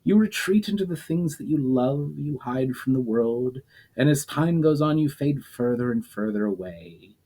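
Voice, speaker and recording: A man's voice: medium pitch, expressive, moderate pace, dark tone, pitched above the performer's own voice, lightly acted, low-quality recording. A man reading a story aloud, voicing an ancient, scornful immortal demon who lectures coldly and derisively. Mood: cold lecturing.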